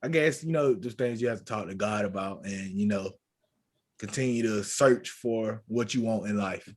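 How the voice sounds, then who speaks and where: A man's voice: lowish voice, moderate pace. A man in his twenties, co-hosting a casual podcast, talking in an easygoing, reflective way full of "you know". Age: 20s.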